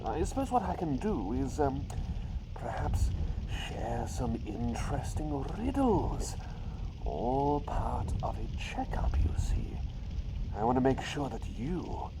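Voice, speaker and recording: A man voicing an eccentric, playful doctor turned talking bird, in soft-spoken ASMR roleplay with whispers and whimsical, unhurried phrasing. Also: medium pitch. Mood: chatty, musing. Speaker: riddle-teller. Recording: clear background noise with outdoor ambience.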